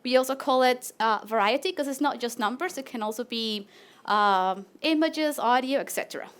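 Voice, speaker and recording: A woman with a Latin American Spanish accent and a high, bright voice presents her research on stage. She talks in a friendly, animated way, with the odd filler and restart. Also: moderate pace, smooth. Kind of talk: live PechaKucha talk to an audience.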